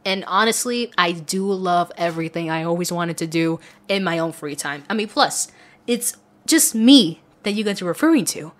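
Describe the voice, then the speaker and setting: A woman's voice: on the low side, expressive, normal speed, a bit bright. A young woman, an audio content creator, answering follower questions in a casual, rambling and emphatic way. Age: young adult.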